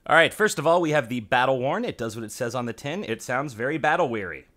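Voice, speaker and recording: A man's voice: mid-range voice, lively intonation, fast talker, fairly bright tone. A man hosting a gaming video, commenting casually and conversationally with wry asides. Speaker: gaming channel host. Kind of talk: casual game update commentary.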